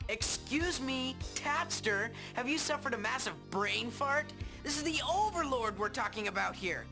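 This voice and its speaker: High, sarcastic game sidekick. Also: female voice, lively intonation, music in the background. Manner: sarcastic, drawn-out. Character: sarcastic, disbelieving sidekick, blunt and mocking. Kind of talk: video game cutscene acting.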